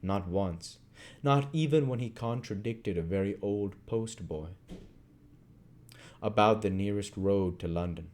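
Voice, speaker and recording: A man reading a classic novel aloud as narrator, in deliberate phrases with dramatic emphasis. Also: sits low, warm tone. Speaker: audiobook reader.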